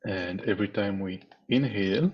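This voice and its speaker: A man leading a guided meditation as a teacher, speaking in a calm, measured way with long pauses between phrases. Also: on the low side, husky. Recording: dull, muffled online meeting audio.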